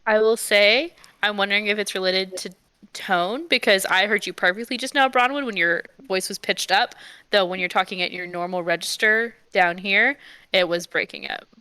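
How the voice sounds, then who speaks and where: Bright, crisp-voiced woman player. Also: mid-range voice, normal speed, dry recording. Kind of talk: casual chat between players.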